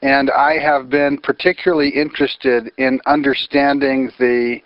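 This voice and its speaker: A man's voice: medium pitch, little pitch movement, a little slow, dry recording. An American man hosting a phone interview, earnest and wordy with frequent fillers. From United States. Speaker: interviewer, host. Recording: dry, slightly muffled phone recording.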